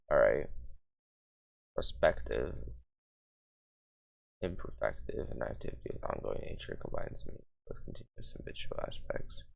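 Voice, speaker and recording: Young male streamer, deep and creaky, voice fading, self-mocking and rambling. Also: poor audio. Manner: rambling, self-mocking, reads notes aloud under his breath. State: losing his voice. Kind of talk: casual livestream, thinking aloud while building a conlang.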